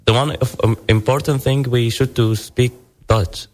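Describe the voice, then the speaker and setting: A young man from Syria, a guest in an interview, speaking halting English as he searches for words. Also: mid-range voice, fairly even intonation, slight background noise. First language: Arabic. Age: young adult. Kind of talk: casual interview.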